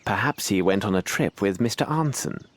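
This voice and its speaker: A clever, composed gentleman detective. His voice is on the low side with very lively intonation. He speaks formally and precisely, polite yet in command. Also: fairly quick. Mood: gently questioning. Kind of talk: video game cutscene acting.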